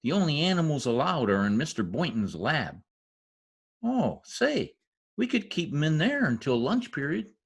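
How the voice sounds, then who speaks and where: A man's voice: fairly high pitch, very animated, moderate pace, creaky, slightly rough, heavily acted. A man playing an eager, mischievous teenage schoolboy in an old-time radio comedy, with an earnest, gushing manner and flowery words. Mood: excitable.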